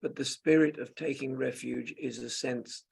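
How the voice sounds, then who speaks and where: Male meditation teacher, very creaky and husky, speaking gently in short, spaced-out phrases. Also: medium pitch, steady tone, normal speed. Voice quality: gravelly, husky. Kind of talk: slow guided meditation with long pauses.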